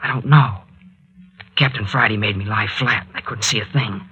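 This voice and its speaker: An actor playing an earnest, excitable young adventurer in a 1940s radio drama, with a colloquial, solicitous way of talking. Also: male voice, on the low side, normal speed, husky, highs cut off, exaggerated voice. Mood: tense, urgent. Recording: vintage 1940s radio recording.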